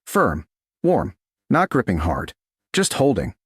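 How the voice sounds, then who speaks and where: Guy narrating a romance audiobook, lowish voice, really lively intonation, super dry sound. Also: takes it slowly, husky, no echo.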